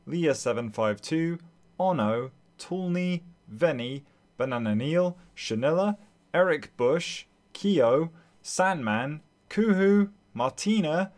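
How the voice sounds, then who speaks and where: A man's voice: on the high side, expressive, slow. A man who makes gaming videos, speaking in a casual playthrough style with friendly asides. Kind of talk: casual playthrough commentary and letter reading.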